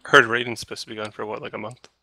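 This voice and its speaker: Flat-voiced man calling a game. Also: on the low side, racing. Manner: relaxed, hesitant, many "uh" fillers. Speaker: esports commentator. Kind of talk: live game play-by-play commentary.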